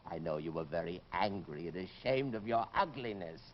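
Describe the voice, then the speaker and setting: A man's voice: low voice, expressive, moderate pace, a little hiss or hum. An actor playing a sinister faceless monster of a man's soul, menacing and taunting, in old radio horror style. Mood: accusing. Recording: muffled old radio recording, music behind.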